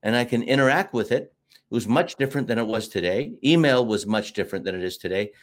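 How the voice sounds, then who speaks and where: A man's voice: on the low side, quick pace. A middle-aged American man, a marketing teacher talking at length as an interview guest, in an expansive storytelling way with fillers. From United States. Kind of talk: casual interview talk.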